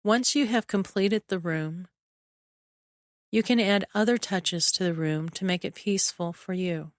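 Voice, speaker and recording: Very slow, smooth female meditation guide. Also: medium pitch, no echo, clean studio sound. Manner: soothing, measured, with short phrases and long pauses. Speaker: guided imagery meditation narrator. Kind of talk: guided relaxation meditation, scripted narration.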